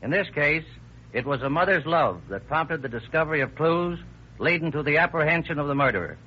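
A man speaks as a police captain addressing radio listeners formally from a script. His voice is rather high and rough, on a muffled vintage radio recording. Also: lively intonation, fairly quick. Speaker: police captain, guest introducer.

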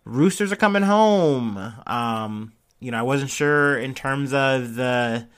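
A man's voice: mid-range voice, fairly bright tone. Laid-back joking podcast host, a man. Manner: loose, joking and irreverent, many ums; drinking and smoking while talking. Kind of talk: casual news commentary podcast.

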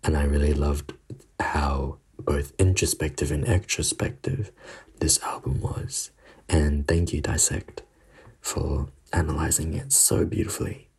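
Man from Australia, deep husky voice, breathy near-whisper, listener message. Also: steady tone, measured pace, darkish tone.

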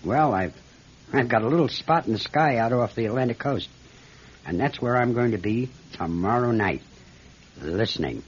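A man's voice: mid-range voice, expressive, normal speed, natural voice. A man playing a troubled, determined airline captain in an old-time radio drama, speaking in terse, commanding bursts. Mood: troubled, intent. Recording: noisy muffled radio drama recording.

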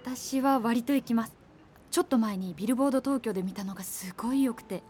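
Woman acting young female music fan, reserved, courteous, slightly hesitant, highish pitch, radio drama. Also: quick pace, a little mellow, slight background noise, close to an everyday voice. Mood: polite, reserved. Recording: music behind the voice.

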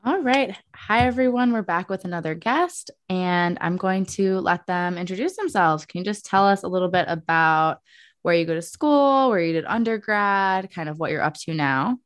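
A woman's voice: on the low side, animated, moderate pace, no echo. A young woman hosting a podcast, asking upbeat, friendly questions in a casual chat. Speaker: podcast host.

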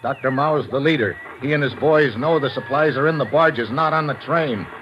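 An actor playing a tough, wisecracking American secret agent in a vintage radio adventure, clipped and matter-of-fact. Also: male voice, medium pitch, moderate pace, noisy background, close to an everyday voice. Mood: brisk, steady. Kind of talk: 1950s radio adventure acting with first-person narration. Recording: dull old radio recording, music behind.

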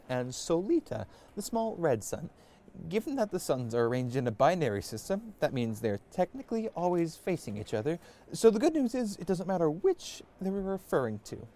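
A man's voice: on the high side, mellow, a little hiss or hum. A man playing an earnest, hesitant young wizard scholar explaining things in a comedic fantasy audio drama.

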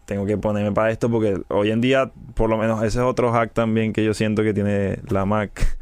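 A young man with a Venezuelan accent talks in a low, unhurried voice, often restarting his sentences and dropping in English terms. The sound is dry and close, with no room echo. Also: level tone, darkish tone, clean tone. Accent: Venezuelan Spanish. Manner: laid-back, halting, many restarts, English words mixed in. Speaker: music producer, guest. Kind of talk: casual podcast conversation.

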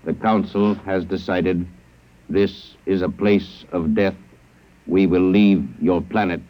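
A low-voiced, emotionless alien invader from an old radio drama. He speaks in stilted, formal, literal phrases with cold authority, on a dull vintage recording. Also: steady tone, slow pace. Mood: flat, resigned. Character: cold, logical alien invader, an immortal council messenger. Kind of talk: classic radio drama acting.

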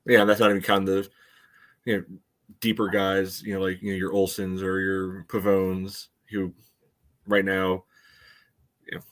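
Low-voiced man in casual sports analysis. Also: completely flat delivery, normal speed, pauses often, a bit bright. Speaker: sports podcast panelist.

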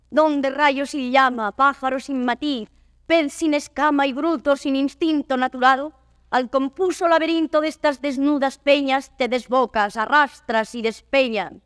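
An actress playing a proud, troubled noblewoman traveller, declaiming classical verse in a grand, measured way. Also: high-pitched, steady tone, dry recording, clean studio sound. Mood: indignant despair.

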